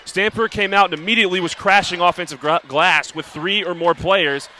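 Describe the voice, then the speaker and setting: A man's voice: high voice, steady tone, brisk, pushed and bright. A man giving live analysis as a basketball color commentator. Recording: slightly noisy basketball arena.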